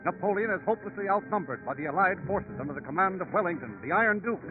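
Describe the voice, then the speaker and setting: A man's voice: sits high, animated, fast talker, over music. A man performing as a portentous, dramatic storyteller, narrating in scripted 1930s radio style. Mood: dramatic, suspenseful. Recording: tinny 1930s radio recording.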